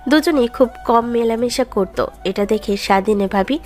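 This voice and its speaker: Woman narrator with a fairly high voice. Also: normal speed, a little mellow, soft background music. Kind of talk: scripted romantic story narration.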